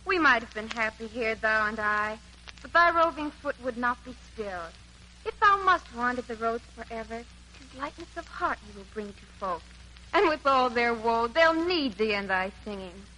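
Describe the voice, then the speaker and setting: A woman's voice: fairly high pitch, expressive, normal speed, bright, forceful tone, a little hiss or hum, low-quality recording. A woman playing a tender young Puritan sweetheart, earnest and theatrical, with archaic speech in a 1940s radio drama. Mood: tender, wistful. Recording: old 1940s radio broadcast.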